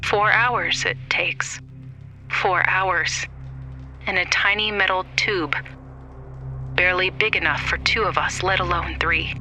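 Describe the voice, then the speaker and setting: A man acts out a frightened survivor of a deep-sea crew in a horror audio drama. He speaks slowly and haltingly, in fragments, haunted and full of dread. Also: pushed and bright, heavily acted.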